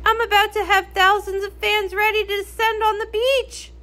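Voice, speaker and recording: A woman's voice: extremely high pitch, flat delivery, moderate pace, sharp tone, voice pushed up. A woman voicing a bubbly, bossy young pony pop princess, perky and emphatic, in a cartoon comic read-along. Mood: flustered.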